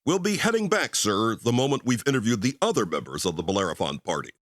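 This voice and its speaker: An actor playing a brisk, authoritative spaceship commander with a formal, questioning manner in an old-time radio sci-fi drama. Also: male voice, on the low side, very animated, a bit bright, dry recording, clean studio sound. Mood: firm.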